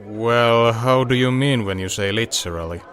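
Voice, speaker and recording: Man playing a wry bar owner, medium-pitched. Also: moderate pace, a bit bright, some chatter behind, lightly acted. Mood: dry. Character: wry, long-suffering male bar owner.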